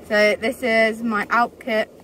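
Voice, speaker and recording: A woman's voice: fairly high pitch, little pitch movement, takes it slowly, fairly bright tone, a little hiss or hum. A young woman chatting casually to her camera while wild camping on a mountainside, laughing often. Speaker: vlogger. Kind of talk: casual outdoor vlog narration. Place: outdoors on a mountainside by a lake. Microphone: handheld camera selfie.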